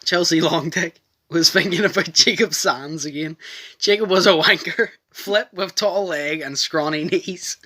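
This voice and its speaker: A man's voice: fairly high pitch, unhurried, sharp tone. A man chatting casually and reading a comic story aloud as a podcast host, prone to giggling. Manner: breaks into giggles while reading.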